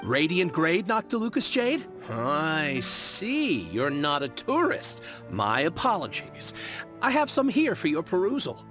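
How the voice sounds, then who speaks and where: An actor playing an eager, flattering merchant in a video game, with ingratiating, theatrical sales patter. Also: male voice, fairly high pitch, unhurried, over music. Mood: obliging. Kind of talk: English-dubbed video game acting.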